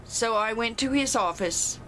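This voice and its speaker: A woman with a loud, carrying voice, telling a personal story emphatically. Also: measured pace, slight background noise, rough recording. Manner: emphatic, rises in pitch when retelling her protest.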